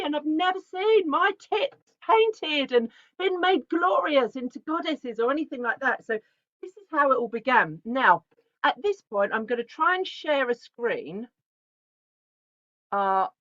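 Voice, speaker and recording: A woman, giving a chatty, animated presentation that often breaks off and restarts itself. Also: high voice, measured pace, dry recording. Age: 40s. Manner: chatty, animated, self-interrupting. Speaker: artist giving a talk. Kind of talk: casual conference presentation. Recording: dull, lo-fi video call audio.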